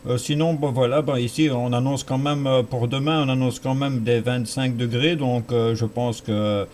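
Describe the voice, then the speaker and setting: A man's voice: medium pitch, steady tone, normal speed, a little mellow, slight background noise. A man with a Belgian French accent talks casually as a radio operator, often repeating words for emphasis. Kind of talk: casual two-way radio chat.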